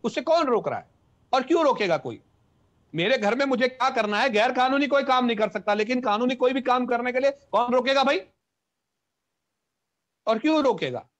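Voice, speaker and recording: A man speaking as a panelist in a heated television debate, arguing forcefully and repeating himself to keep the floor. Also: very high-pitched, animated, moderate pace, pauses often. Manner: argumentative, repeats words to hold the floor.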